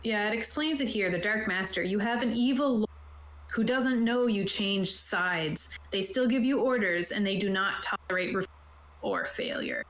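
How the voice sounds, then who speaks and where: A woman's voice: medium pitch, sing-song, moderate pace, a bit bright, reverberant, poor audio. A woman prepping a tabletop game online, reading rules aloud and explaining them in a chatty, casual way. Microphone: own microphone on an online voice call.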